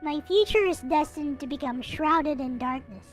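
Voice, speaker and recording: A woman's voice: high voice, normal speed, soft background music, lightly acted. A woman voicing a bitter, hopeless young narrator in a visual novel, reading in a melancholy, theatrical way. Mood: bleak, resolved. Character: gloomy, bitter, hopeless young person going blind, self-pitying narrator.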